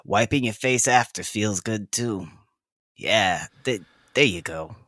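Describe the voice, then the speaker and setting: Young half-orc woman: blunt, helpful, sharp-edged tone, casual audio drama delivery, very dry sound. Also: rumbling low, animated, slow, dry recording, heavily acted.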